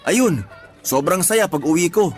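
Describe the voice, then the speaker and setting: A man's voice: sits high, lively intonation, unhurried. A man playing an exasperated young husband. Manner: exasperated, complaining. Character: harried young husband, exasperated and put-upon. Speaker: husband of a pregnant partner. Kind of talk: radio drama acting. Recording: faint music bed behind voice.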